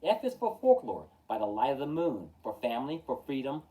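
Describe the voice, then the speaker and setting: A man reads a rhyming picture book aloud as a storytime host. His delivery is very animated and theatrical, starting phrases with emphasis and letting them fall at the end. The tone is mellow, and he sounds a little far from the microphone. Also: mid-range voice, slight background noise. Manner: theatrical, measured delivery with emphatic openings and falling line endings. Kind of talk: expressive children's picture-book read-aloud in rhyme.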